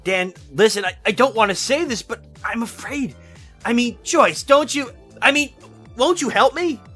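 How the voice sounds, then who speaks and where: An actor playing an anxious, morbidly argumentative man in a 1940s-style radio drama, speaking in a halting, rhetorical, theatrical way. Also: very high-pitched, lively intonation, bright, forceful tone, breathy edge, faint music behind, full character voice. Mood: agitated, pleading.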